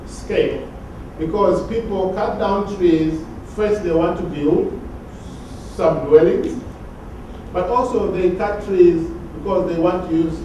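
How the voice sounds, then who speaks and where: A man's voice: sits high, warm tone, far from the mic, a little hiss or hum. Man lecturing in an echoey hall. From Tanzania. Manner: measured, repeats words while searching for phrasing. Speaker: conference speaker. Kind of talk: academic lecture. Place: large conference hall.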